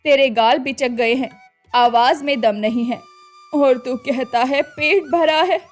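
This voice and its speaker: A woman voicing a poor, worried and loving old village mother who pleads slowly and tearfully. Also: high-pitched, faint music behind.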